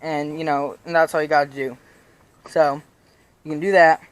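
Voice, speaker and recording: A young man talks through bike tips in a loose, rambling way, stacking fillers and restarting his sentences. His voice sits a little high and is slightly raspy, and he sounds casual. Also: little pitch movement, moderate pace, no echo, low-quality recording. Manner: rambling, full of "you know" fillers and restarts. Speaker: BMX vlogger. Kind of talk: casual how-to vlog. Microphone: chest-mounted action camera.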